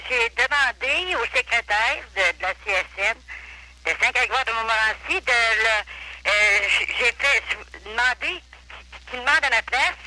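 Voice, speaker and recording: A woman with a Quebec French accent calling a radio show, polite, hesitant and halting. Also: fairly high pitch, bright, forceful tone. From Quebec, Canada. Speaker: phone-in caller. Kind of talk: spontaneous phone call. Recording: old telephone line on radio.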